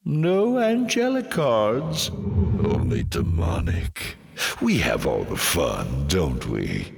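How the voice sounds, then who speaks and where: A man playing a suave, mocking demon lord in a video game, with a silky, pompous, sardonic delivery and drawn-out teasing. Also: sits low, vocal fry, exaggerated voice. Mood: sly, teasing. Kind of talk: theatrical video game villain voice acting. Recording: echoing, cavernous reverb.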